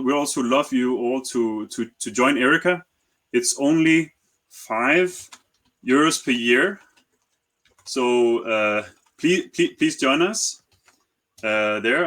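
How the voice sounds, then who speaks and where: A man's voice: mid-range voice, a bit bright. Hesitant man stumbling over repeats. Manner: hesitant, many repeated words and uhs. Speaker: startup founder. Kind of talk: webinar talk.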